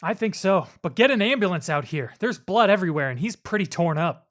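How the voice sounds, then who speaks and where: An actor playing a steady police officer on night patrol in an audio drama, speaking in a casual, low-key way. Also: male voice, fairly quick. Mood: concerned.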